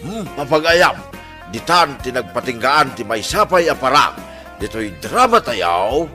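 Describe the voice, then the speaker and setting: A male radio drama announcer with an Ilocano Filipino accent reads scripted narration. His delivery is slow and animated in pitch, over soft guitar music.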